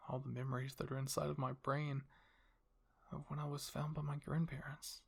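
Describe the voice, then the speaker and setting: Husky, creaky man narrating ASMR fiction. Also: fairly high pitch, flat delivery, moderate pace. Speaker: narrator and roleplay performer. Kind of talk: first-person ASMR story narration.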